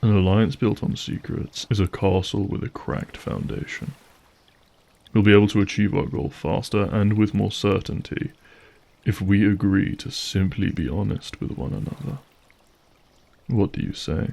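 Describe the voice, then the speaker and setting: A man's voice: lowish voice, moderate pace, creaky, a little mellow. A man playing a courtly, aristocratic monster lord in an audio drama, speaking with formal, gracious courtesy. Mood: smooth, diplomatic.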